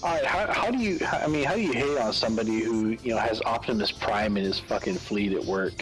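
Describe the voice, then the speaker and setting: A man's voice: fairly low pitch, quick pace, rough recording. A man joking and swearing during casual gaming chat on a stream. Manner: joking, profane banter. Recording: music clearly under voice.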